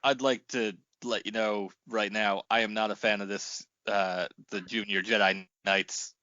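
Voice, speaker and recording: A man's voice: lowish voice, fairly even intonation, unhurried, pushed and bright, no echo, dull audio. A man co-hosting a podcast, bantering casually in an irreverent, theatrical, sweary way. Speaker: podcast co-host.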